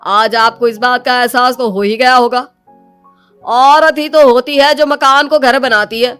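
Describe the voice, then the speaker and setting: A woman voicing a polite, wise priest who gently admonishes in a storybook tale. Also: fairly high pitch, steady tone, unhurried, clean tone, faint music behind. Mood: calming advice.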